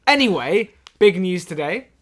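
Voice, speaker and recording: A man acting as an excitable podcast fan with a high-pitched voice. He co-hosts in a gushing, mock-earnest way, silly and a little boastful, as in an improvised comedy podcast. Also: normal speed. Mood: eager, mock-serious. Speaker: podcast co-host.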